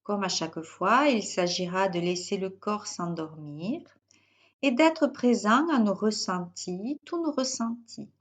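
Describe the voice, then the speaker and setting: A woman guiding a yoga nidra relaxation as a teacher, in short, measured instructional phrases with pauses. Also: fairly low pitch. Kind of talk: guided yoga nidra meditation.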